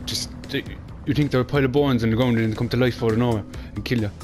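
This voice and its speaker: A young Irish man chatting quickly and rambling while he plays through a platform game. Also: medium pitch, fairly even intonation. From Ireland. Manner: fast, rambling, chatty with Irish phrasing like "class". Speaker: gaming channel host. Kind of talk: casual gameplay commentary. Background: game music.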